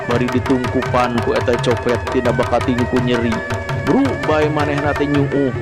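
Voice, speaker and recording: A man with a Sundanese accent narrating a folk tale dramatically as a storyteller. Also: medium pitch. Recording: long reverb, music behind. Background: music.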